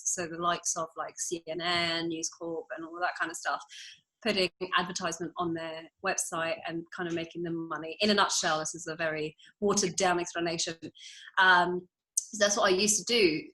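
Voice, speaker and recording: A woman who grew up in France, telling her career story in a relaxed interview and laughing often. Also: lowish voice, rough recording. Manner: chatty, laughs often, quick asides. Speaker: interior designer, guest.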